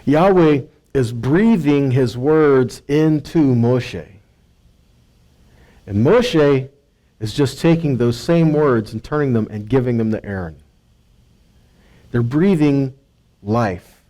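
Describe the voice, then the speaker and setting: A man's voice: medium pitch, slow, pauses often, mellow. A man giving a conversational Bible teaching, speaking emphatically, quoting and paraphrasing dialogue and checking in with his listeners. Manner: emphatic, quotes and paraphrases dialogue, rhetorical asides and check-ins. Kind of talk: conversational scripture teaching, sermon.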